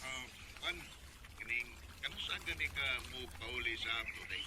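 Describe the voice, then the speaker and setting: A man's voice: medium pitch, normal speed, very noisy, lightly acted. A Filipino man with a Bisaya accent playing a friendly, casual provincial man in a radio drama.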